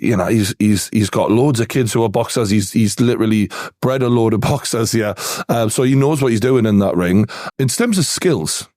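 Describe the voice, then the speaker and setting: A man's voice: mid-range voice, a little mellow, no echo, clean studio sound. A man with a Geordie accent hosting an interview, asking loose, filler-heavy questions. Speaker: podcast host. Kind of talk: casual interview chat.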